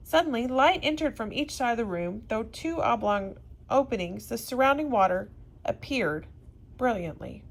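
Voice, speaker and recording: A woman reads a classic adventure story aloud. Her voice is a little higher than average. She narrates expressively, with an occasional slip over a word. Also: expressive, normal speed, rough recording. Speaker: reader of a classic novel.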